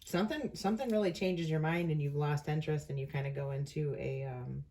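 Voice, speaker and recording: Lady with a low voice doing a tarot reading, casual, kinda thinking out loud, lots of pauses. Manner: conversational, thinking aloud with frequent pauses. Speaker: tarot reader hosting an online reading.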